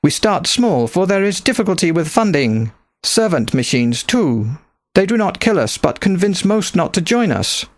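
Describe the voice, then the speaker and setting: A man's voice: high-pitched, sing-song, no echo, studio quality, voice pushed up. Man putting on a thickly accented commanding pirate woman. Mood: frank, confiding. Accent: thick foreign. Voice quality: rich, strong. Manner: proud, halting broken grammar. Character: bold, commanding foreign woman pirate captain, fervent revolutionary.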